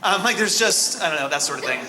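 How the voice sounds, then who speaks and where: A man's voice: high voice, lively intonation, fast talker, a crowd in the background. A man voice actor explaining his process at a convention panel, thoughtful and full of fillers. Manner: thoughtful, hedging with many fillers. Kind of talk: casual convention Q&A answer.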